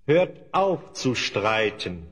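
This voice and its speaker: Stern old seer, slow solemn speech, long reverb, music behind, fantasy radio play. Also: male voice, lowish voice, big pitch swings, fairly bright tone, exaggerated voice. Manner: solemn, commanding, scolding. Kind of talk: German fantasy audio drama acting.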